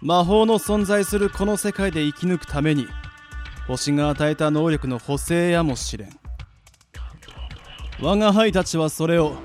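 A man's voice: fairly high pitch, unhurried, long pauses, close to an everyday voice. A man playing a pompous, kindly middle-aged spirit mentor with old-fashioned, lecturing speech in an anime-style radio drama. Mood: solemn, explaining. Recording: background music under the voice.

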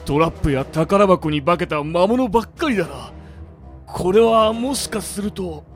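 A man playing a rough-spoken but sensible adventurer, blunt and casual, in a comedic radio drama. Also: sits high, expressive, normal speed, faint music behind. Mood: musing.

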